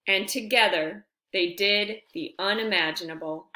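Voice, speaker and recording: A woman reading a picture book aloud to young children, with expressive, deliberate phrasing and friendly asides. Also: medium pitch, roomy sound, poor audio.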